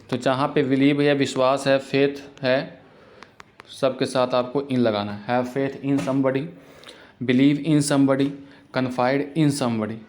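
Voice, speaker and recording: A man teaching English grammar for exams, explaining in Hindi and reading English example sentences out slowly. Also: medium pitch, a little mellow. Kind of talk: exam-prep grammar lesson.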